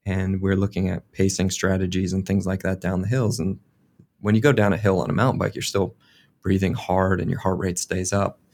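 Very low-voiced American man, casual. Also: level tone, a little fast, darkish tone. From United States. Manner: casual, uses many fillers like "like" and "kind of". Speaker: guest sports scientist. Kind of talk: relaxed interview answers.

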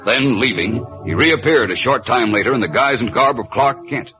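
Dramatic American male radio narrator over loud music. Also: mid-range voice, animated, normal speed. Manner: dramatic, suspenseful, declamatory. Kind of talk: 1940s radio scripted narration. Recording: muffled old radio broadcast recording.

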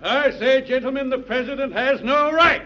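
A pompous senator in an old radio play. His voice is extremely high and piercing, and he orates obstinately. The recording is muffled. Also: normal speed, bright, forceful tone. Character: obstinate, pompous male senator opposing the president. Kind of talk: 1940s radio drama acting.

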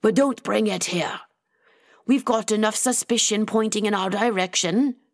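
A woman voicing a weary, protective, matter-of-fact woman of the house in an audiobook, guarded and brisk in manner. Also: medium pitch, natural voice. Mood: exasperated.

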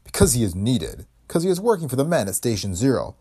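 A man voicing a courtly, sinister bearded man who gives formal, clipped orders, in a husky voice. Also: mid-range voice, huge pitch swings, fast, warm tone, full character voice. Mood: menacing.

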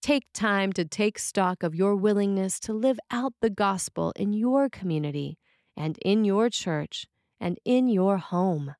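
A woman reading a scripted sermon in a measured, deliberate way with pauses between phrases. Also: mid-range voice, darkish tone, no room sound, studio quality. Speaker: sermon reader.

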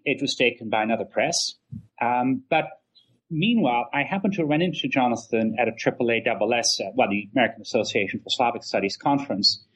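A middle-aged man, an academic guest in an interview, talking conversationally in wordy, halting and measured phrases. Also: mid-range voice, fairly bright tone, husky, dull audio. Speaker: history professor and author, interview guest.

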